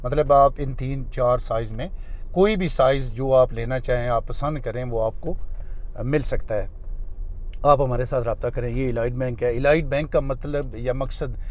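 A man with a Pakistani Urdu accent talks like a real estate agent, pitching plots in a chatty, halting way full of fillers. He narrates casually, as if touring a site, and his voice sits in a middle register for a man. The sound is recorded inside a moving car. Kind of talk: casual narrated drive-through tour.

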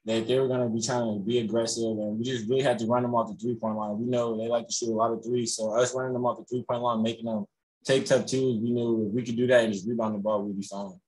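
A young man, a college basketball player, answering reporters on a video call after a game, relaxed and full of "you know" fillers. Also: mid-range voice, fast talker, a little hiss or hum, rough recording. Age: young adult. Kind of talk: postgame press conference answers. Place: indoors in front of a logo backdrop.

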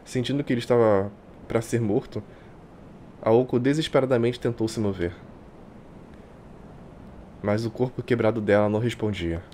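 A man with a Brazilian accent reading a visual novel aloud like a streamer, expressive and colloquial. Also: medium pitch, moderate pace, pauses often, a little hiss or hum. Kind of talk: dramatic live reading with casual asides. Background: game music.

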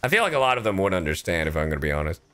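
A man chatting casually on a gaming livestream, animated and profane, swinging between loud outbursts and low asides. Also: rapid-fire, soft background music. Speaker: streamer and voice actor. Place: indoor livestream setup.

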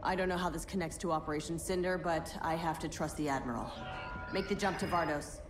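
An actress playing a disciplined female Imperial commander in a video game, briefing with crisp authority. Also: sits low, fairly even intonation, a little fast, roomy sound, over music, close to an everyday voice. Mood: businesslike, resolute. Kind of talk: video game cutscene acting.

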